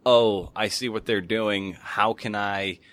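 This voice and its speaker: A man's voice: fairly low pitch, fairly even intonation, takes it slowly, a bit bright. A man hosting a podcast interview in a casual, conversational way, restarting phrases as he thinks aloud.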